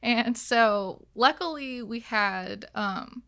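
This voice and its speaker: A woman's voice: fairly high pitch, slow, pushed and bright, clean tone. A young woman telling stories casually as a podcast guest, in a giggly manner. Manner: giggly, laughs through her words. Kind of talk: casual chat, storytelling.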